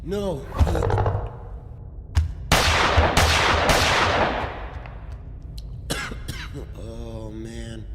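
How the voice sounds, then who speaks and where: A man's voice: mid-range voice, expressive, slow pace. A man voice-acting a frightened soldier in a dramatic comic dub, with breathless, stammering pleas. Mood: terrified, anguished. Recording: loud background noise, long reverb.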